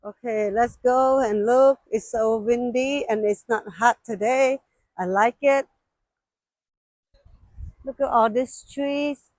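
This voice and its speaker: High-voiced, slow-talking woman vlogger, non-native English. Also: a little mellow, no room sound. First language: not English. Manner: exclamatory, simple halting phrasing in non-native English. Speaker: travel vlogger. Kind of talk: casual sightseeing vlog, talking to viewers. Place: outdoors at a windy mountain lookout.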